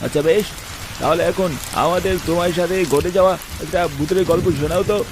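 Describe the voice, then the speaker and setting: Eager young village lad played by a man, high-pitched, exclaiming cartoon delivery, with loud rain noise behind. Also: a little fast. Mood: eager, delighted. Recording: noisy rain ambience behind voice.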